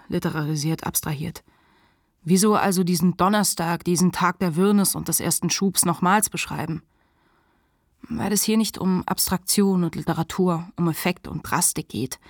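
A woman playing a self-reflective, candid writer in a radio play, narrating deliberately and confessionally in a husky voice. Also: on the low side, no room sound, clean studio sound.

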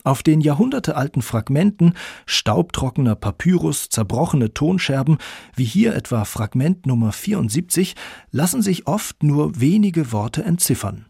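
A man presenting a radio feature in scripted narration, with a gravelly, husky voice. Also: a little high, big pitch swings, normal speed, dark tone, no echo, studio quality.

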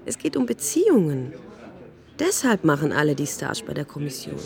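An actress playing an ambitious, cynical young intern in a radio drama, blunt, impatient and matter-of-fact. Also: female voice, low-pitched, very animated, normal speed, gravelly, a little mellow, full character voice. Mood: cutting, defensive.

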